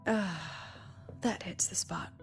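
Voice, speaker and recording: An actress playing a weary, wry academy president in a video game, sly and chatty, talking conversationally with sighs and coy pauses. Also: female voice, on the low side, creaky. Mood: relaxed, wistful. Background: background music.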